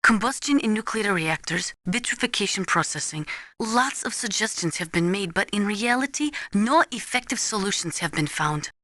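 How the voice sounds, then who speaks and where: Game casting: earnest female weapons expert advising a soldier, crisp forward tone, measured lecturing delivery, very dry studio sound. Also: mid-range voice, expressive, bright, forceful tone, no room sound. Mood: measured, informative. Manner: measured, precise, didactic. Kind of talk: scripted expository video game voice acting.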